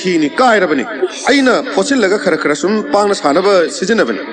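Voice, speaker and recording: A man playing a sly, hesitant boatman in a mythological audio drama, hemming and halting as he talks. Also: high-pitched, lively intonation. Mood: sly, evasive. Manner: halting, hemming, folksy talk. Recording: studio radio drama with music.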